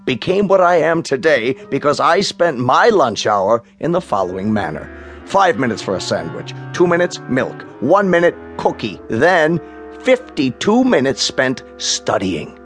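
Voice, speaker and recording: A man playing a pompous, stern bank president in a radio drama, speaking in precise, emphatic, drawn-out phrases. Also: mid-range voice, sing-song, soft background music. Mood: self-important.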